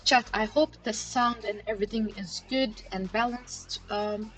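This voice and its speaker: A woman's voice: medium pitch, steady tone, measured pace, a bit bright, low-quality recording. A woman hosting a live market analysis stream, talking casually to her chat with lots of fillers like "you know" and "okay". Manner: chatty, many fillers, addresses chat directly. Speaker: crypto chart analysis livestream host. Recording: livestream with faint background music. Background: faint background music.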